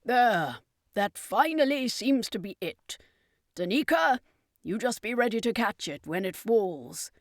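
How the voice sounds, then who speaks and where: An urgent, bossy circus master who works magic, acted out by a woman reading a storybook. The delivery is forceful and emphatic, recorded close and dry. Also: a little high, lively intonation, unhurried, no room sound, voice pushed up. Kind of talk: dramatised storybook character voice.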